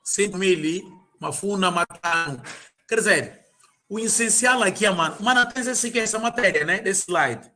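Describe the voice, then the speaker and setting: A man's voice: high voice, animated, moderate pace, sharp tone. A man tutoring a student in an informal lesson, patiently repeating and drilling words. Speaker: language tutor.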